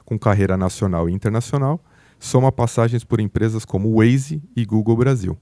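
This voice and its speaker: A man with a mellow, dark voice hosts the interview in a dry, close-sounding room. He reads the guest's introduction and then chimes in with brief affirming interjections. His speech stays easy and relaxed throughout. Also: lowish voice, normal speed, no echo. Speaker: podcast host. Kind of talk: casual interview hosting.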